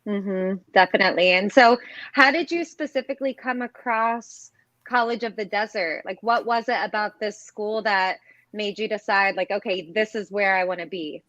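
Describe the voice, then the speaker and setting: A woman's voice: mid-range voice, normal speed, a bit bright, no room sound. A young woman from Ohio hosting a live interview, upbeat and often saying "awesome". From Ohio, USA. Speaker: host. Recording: dull lo-fi sound.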